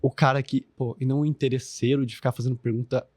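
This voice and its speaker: A man in his twenties with a Brazilian Portuguese accent talks quickly on a podcast. He is slangy and swears freely, piling on fillers. The sound is dry and close. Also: medium pitch, little pitch movement, a little mellow, breathy edge, dry recording. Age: 20s. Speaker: podcast guest, business founder. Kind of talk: casual podcast chat.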